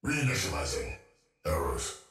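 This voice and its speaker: An actor voicing a haunted, vengeful cyborg ghost in a video game, with a robotic effect and fragmented, brooding muttering. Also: male voice, very deep voice, huge pitch swings, moderate pace, fairly bright tone, recorded from a distance, exaggerated voice. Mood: unhinged. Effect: robotic, glitchy processing. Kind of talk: video game character voice lines. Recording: echoing game audio over music.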